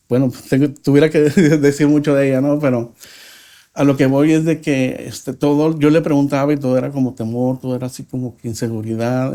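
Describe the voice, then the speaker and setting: Mexican man, podcast guest, personal testimony, middle pitch, mellow tone, halting delivery. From Mexico (Nuevo Laredo). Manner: reflective, halting storyteller with frequent pauses.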